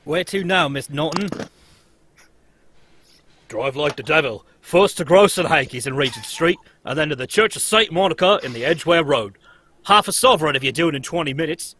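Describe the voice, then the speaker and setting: A man playing hurried Victorian cab passengers and drivers in a brisk, urgent radio drama style. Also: fairly high pitch, expressive, pushed and bright, a little hiss or hum. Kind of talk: old-time radio drama script read live.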